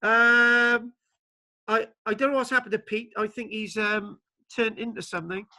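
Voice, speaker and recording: High bright voice, guy running a video call lecture, stumbles over his words a lot. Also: steady tone, moderate pace. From Wales. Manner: stammering, repeats words, many fillers. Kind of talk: online lecture with discussion.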